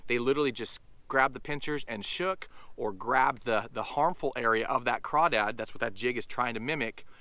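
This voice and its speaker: Bright, crisp-voiced fishing vlogger man. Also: medium pitch, dry recording. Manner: upbeat, chatty, addresses viewers as you guys. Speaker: fishing channel host. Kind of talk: casual outdoor vlog talk to camera. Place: outdoors on a sunny lakeshore.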